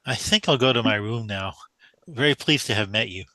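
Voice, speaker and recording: A man's voice: lowish voice, brisk, sharp tone, no echo, rough recording. A man acting in a radio drama as a polite, earnest young mathematician, naive and hesitant. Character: polite, earnest young male mathematician new to the big city.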